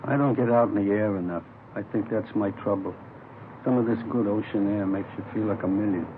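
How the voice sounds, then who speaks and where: A man's voice: fairly low pitch, brisk, close to an everyday voice. An American man playing a chatty, easygoing small-time police informant in a 1950s radio crime drama, folksy and rambling. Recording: old radio, phone-like band, faint noise.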